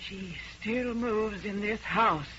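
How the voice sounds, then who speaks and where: A woman's voice: mid-range voice, natural voice. An actress playing a haunted, grieving mother, melodramatic and insistent, in an old radio horror play. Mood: eerie, insistent. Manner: melodramatic, intense, deliberate. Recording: noisy, dull old radio recording.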